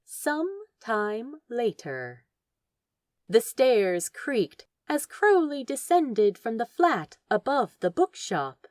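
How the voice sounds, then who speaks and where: A woman's voice: on the high side, darkish tone, no room sound, studio quality. A woman reading a story aloud as a scripted narrator. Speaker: audiobook-style narrator of fan fiction.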